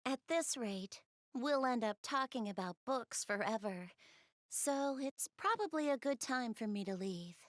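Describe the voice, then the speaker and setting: A shy, bookish young girl from a video game, speaks in a clearly high voice. She is gentle and earnest, a little hesitant as she talks directly to the listener. The sound is dry, free of room echo. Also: animated, unhurried. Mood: eager, polite. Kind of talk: video game cutscene dialogue, addressing the listener.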